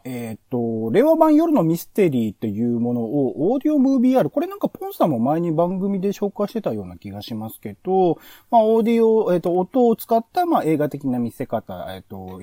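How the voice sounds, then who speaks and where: A man's voice: a little high, mellow, dry recording, clean studio sound. A man hosting a podcast, giving conversational review commentary in an analytical, explanatory way. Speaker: podcast host.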